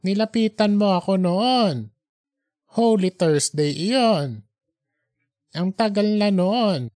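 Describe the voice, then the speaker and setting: A storyteller voicing a kindly, devout old pilgrim who speaks slowly and gently in an audiobook drama. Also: mid-range voice, animated, dry recording, studio quality. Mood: fond, reminiscing.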